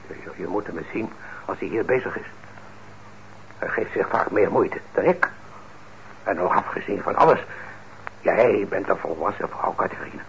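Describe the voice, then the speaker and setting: An actor playing a stern, principled middle-aged father in a radio drama, speaking in a measured, admonishing way. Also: low voice, gravelly, a bit bright. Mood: earnest, admonishing. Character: stern, principled father and craftsman, indignant but fair. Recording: muffled old radio recording, faint noise.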